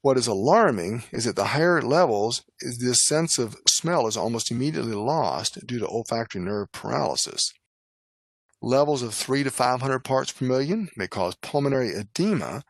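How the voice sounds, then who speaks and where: A man narrating a scripted medical lecture as an instructor, in a formal, measured, instructional manner. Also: mid-range voice, expressive, gravelly, no room sound. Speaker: lecturer, nurse and poison specialist.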